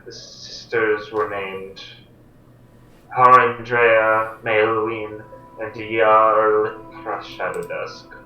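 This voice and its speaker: A man's voice: lowish voice, fairly even intonation, sharp tone, dull audio, faint music behind, full character voice. A man voicing a guarded young noblewoman. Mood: solemn. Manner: hesitant, halting storyteller. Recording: roomy echo, mic far off.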